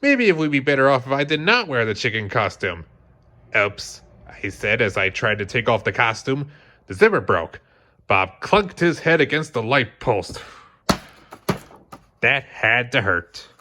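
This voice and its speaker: A man reading a children's storybook aloud as scripted, expressive narration. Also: mid-range voice, moderate pace. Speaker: storybook reader.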